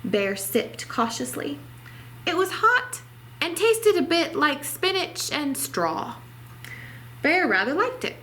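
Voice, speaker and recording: A woman's voice: sits high, very animated, takes it slowly, sharp tone, slight background noise, poor audio. A woman reading a children's story aloud expressively, as a library storytime reader.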